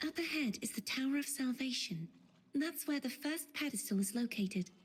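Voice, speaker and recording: An actress playing an earnest, composed young female guide in a fantasy video game, with a soft, breathy voice. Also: high-pitched, expressive, normal speed. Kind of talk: video game dialogue acting. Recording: long reverb, music behind. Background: game music.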